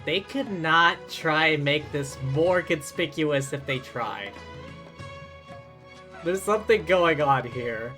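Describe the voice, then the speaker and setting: A male game streamer gives casual, wry commentary. His voice sits a little high and conversational, with faint game music behind it. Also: slow, bright, forceful tone. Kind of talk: casual live commentary and reading along.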